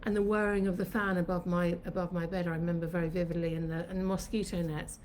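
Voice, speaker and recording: A woman's voice: fairly low pitch, flat delivery, fast, a little mellow, a little hiss or hum. A woman in her fifties, from Sri Lanka, hosting her own live stream from her kitchen and telling her life story in a chatty, reflective way. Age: 50s. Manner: chatty and reflective, reminiscing at length. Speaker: host of her own channel. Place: home kitchen.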